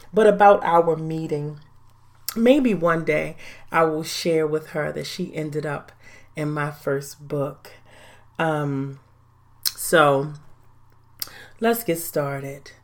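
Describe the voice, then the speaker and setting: A woman in her forties hosting a faith-based podcast, talking in an earnest, deliberate, reflective way. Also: low-pitched. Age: 40s. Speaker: podcast host.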